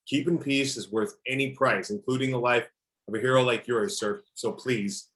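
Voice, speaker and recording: A man playing a dutiful, ruthless armed operative, measured and apologetic, in a script table read. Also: mid-range voice, steady tone, fairly bright tone, natural voice. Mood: earnest.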